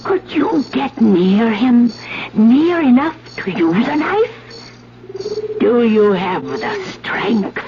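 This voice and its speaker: A woman's voice: a little high, sing-song, full character voice. An actress in a 1970s radio drama playing a grave woman who coolly plots and foretells a death, speaking with deliberation. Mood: cold, probing. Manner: slow, deliberate, questioning. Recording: dull old radio recording with noise.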